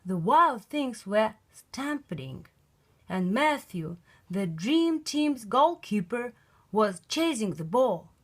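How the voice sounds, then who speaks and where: A woman reading a children's picture book aloud in an expressive, emphatic storytelling style. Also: fairly high pitch, slow.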